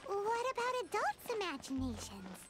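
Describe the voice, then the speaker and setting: A woman voicing a tiny, excitable, childlike fairy companion in an anime game, squeaky and a bit whiny. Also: moderate pace, heavily acted. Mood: curious, chirpy. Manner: refers to self in third person, squeaky, whiny.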